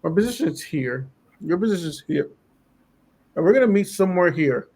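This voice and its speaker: A man in casual political chat on a podcast, losing his voice and clearing his throat as he talks in a rambling way. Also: high-pitched, expressive, brisk, a little mellow. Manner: rambling, lots of fillers. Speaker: podcast co-host.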